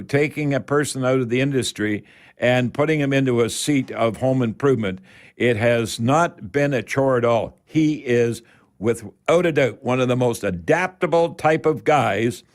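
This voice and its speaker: A man's voice: medium pitch, a little slow. An older man with a Canadian accent hosts a home improvement radio show in a folksy, rambling way, repeating words for emphasis. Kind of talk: folksy live radio talk with product tips.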